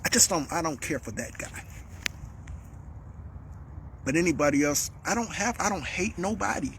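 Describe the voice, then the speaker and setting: A man delivering a heated, repetitive monologue as a vlogger, calling out a rival and swinging up to shouts. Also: on the high side, lively intonation, moderate pace, slight background noise.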